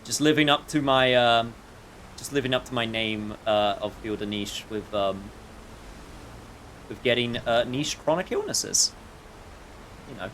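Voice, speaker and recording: A man's voice: mid-range voice, unhurried, long pauses, a bit bright. A man with a mid-range voice chats casually while livestreaming a game. His commentary is wry and irreverent, with some swearing, and there is faint noise behind him.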